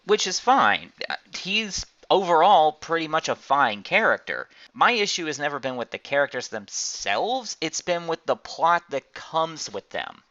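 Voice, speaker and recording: A man's voice: a little high, sing-song, measured pace, bright, forceful tone. A man hosting a casual group chat, explaining his opinions in a rambling way. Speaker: podcast host. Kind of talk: casual group chat about anime and card games. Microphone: online group voice call. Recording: dull, lo-fi voice chat.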